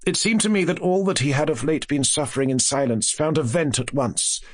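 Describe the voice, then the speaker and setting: A man reading classic gothic fiction as an audiobook narrator, in a measured, expressive, literary way. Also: fairly high pitch, no echo.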